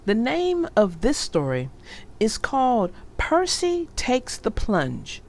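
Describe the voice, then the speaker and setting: A woman reading a children's storybook aloud in an animated storytelling manner. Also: a little high, slow, over music. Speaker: storybook narrator.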